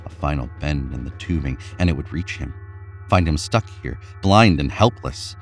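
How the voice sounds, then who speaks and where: A man reading a horror story aloud in a measured, suspenseful way. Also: a bass voice, lively intonation, soft background music. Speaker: narrator. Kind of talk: scripted horror story narration.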